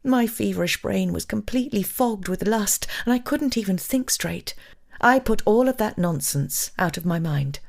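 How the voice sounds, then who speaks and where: A woman's voice: mid-range voice, animated, moderate pace, gravelly. An actress playing a lovestruck, brazen married woman, giving an intimate, wistful dramatic reading of a forbidden romance. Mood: yearning. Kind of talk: dramatic first-person audiobook-style reading.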